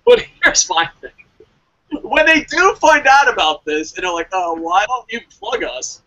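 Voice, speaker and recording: A man's voice: high-pitched, big pitch swings, unhurried, pushed and bright, rough recording. A man hosting a casual wrestling review podcast, chatting informally with some swearing. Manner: profane, informal. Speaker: podcast host.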